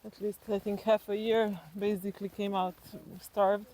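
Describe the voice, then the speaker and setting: Mellow Slovenian-accented woman, thinking aloud. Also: medium pitch, flat delivery, moderate pace, low-quality recording. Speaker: interview guest. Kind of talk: reflective personal storytelling in conversation.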